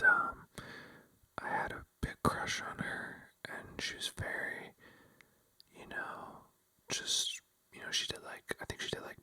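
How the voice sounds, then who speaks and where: A vlogger telling a rambling, casual story in a breathy ASMR whisper, full of fillers like "like" and "you know.". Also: extremely high pitch, bright, forceful tone. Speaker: vlogger addressing viewers.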